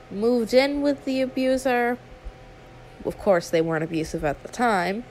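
A woman's voice: fairly high pitch, creaky, a little mellow, slight background noise, low-quality recording. A woman playing a friendly, trusting young female YouTuber in a conversational ASMR roleplay, chatty and confiding with some hesitation. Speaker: roleplay audio performer.

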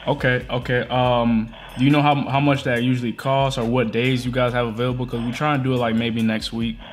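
A man's voice: medium pitch, level tone, a little fast, a little hiss or hum. A young American man with a slangy way of talking, chatting casually and making prank calls as a vlog host. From Atlanta, United States. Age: young adult. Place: living room at home.

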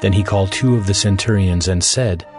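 A man's voice: deep voice, brisk, music in the background. A man narrating dramatized scripture as a scripted reading.

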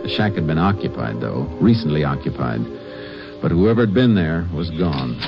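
An actor playing a stern, shrewd Texas Ranger with an American accent, dry and matter-of-fact, in an old-time radio drama. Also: male voice, a bass voice, sing-song, normal speed, vocal fry, full character voice. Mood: grim narration. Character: stern, shrewd male Texas Ranger narrating his case. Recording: muffled vintage radio recording, music behind.

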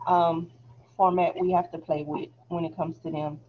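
A soft-spoken woman teaching a relaxed phlebotomy review lecture over a video call, chatty and laughing easily. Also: fairly low pitch, moderate pace. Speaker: phlebotomy instructor. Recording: slightly dull video call audio.

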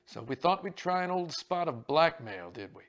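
A man doing a rumbling, domineering old magnate. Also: very animated, moderate pace, fairly bright tone. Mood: menacing. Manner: rumbling, blunt. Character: coarse, domineering older male theater magnate.

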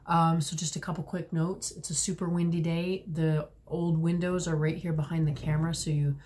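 A woman in her forties giving a tarot reading to camera at home, slightly hoarse from a cold, talking gently with many fillers and restarts. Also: low voice, little pitch movement, moderate pace. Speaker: tarot reader. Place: small room at home, indoors.

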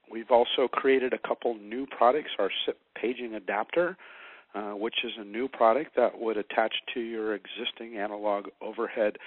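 An American man answering interview questions as a sales manager, measured and pausing often as he explains. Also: on the low side, dry recording. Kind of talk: interview answers about products. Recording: narrow muffled phone line.

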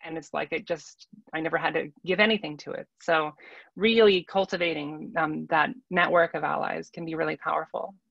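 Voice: medium pitch, steady tone, fairly quick, creaky, a bit bright, dry recording. A panelist of unclear sex talking reflectively about personal experience in a discussion on a video call. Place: indoor room, video call. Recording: dull video call audio.

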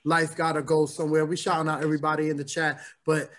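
A man's voice: fairly high pitch, monotone, quick pace, a bit bright, a little hiss or hum. An American man co-hosting a podcast, talking in casual slang. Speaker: podcast co-host.

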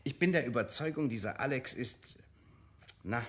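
Schauspieler als redseliger, lebhaft betonender Gentleman. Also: mid-range voice, expressive, normal speed, close to an everyday voice. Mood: eager, chatty. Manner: emphatic, theatrical, chatty. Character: chatty, opinionated gentleman, excitable amateur sleuth. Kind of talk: German radio crime drama acting.

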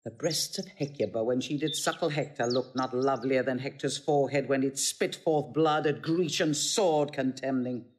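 A woman's voice: rumbling low, normal speed, hardly any pauses, darkish tone. An actress playing a fierce, proud older Roman mother in a Shakespearean audio drama, imperious and declamatory. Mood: fierce, scornful. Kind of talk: classical Shakespearean stage acting.

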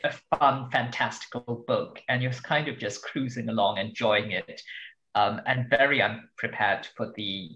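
A man's voice: medium pitch, a little slow, sharp tone, poor audio. A man, a translator, chatting casually as a guest on a podcast. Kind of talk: casual interview chat.

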